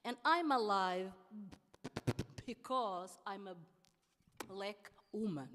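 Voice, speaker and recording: Slow-speaking woman with a stutter. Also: mid-range voice, fairly bright tone. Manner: stutters often, with many pauses and restarts. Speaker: politician and anti-racist activist. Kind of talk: panel talk. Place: large hall at a public event. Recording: long hall reverb.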